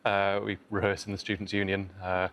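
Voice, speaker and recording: Fast-talking young man with a low voice. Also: monotone, a bit bright, smooth. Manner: polite, fast, stumbling restarts. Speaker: orchestra conductor, quiz contestant. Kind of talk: game show chat.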